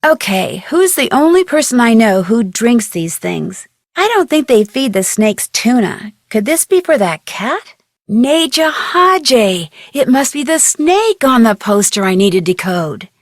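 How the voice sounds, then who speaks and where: A young American amateur detective for an adventure game. She is inquisitive and chirpy, with a high, lively voice that rises and falls as she puzzles things out loud. The sound is close and dry. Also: a little slow, no room sound, heavily acted. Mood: musing. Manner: clearly enunciated, inquisitive musing to herself. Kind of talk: scripted adventure game character lines, thinking aloud.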